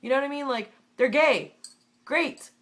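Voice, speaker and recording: A young American woman in her twenties with a stuffed-up, congested voice, sitting a touch high in pitch. She speaks her mind bluntly in a casual vlog, swearing freely as she goes. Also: moderate pace, a bit bright. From United States. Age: 20s. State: congested from a cold. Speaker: vlogger.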